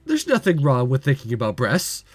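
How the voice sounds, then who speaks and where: A man's voice: mid-range voice, huge pitch swings, normal speed, breathy edge, no echo, faint music behind. Guy doing a visual novel playthrough, rambly and jokey, dry close sound. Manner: rambling, joking, many fillers. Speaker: let's play host.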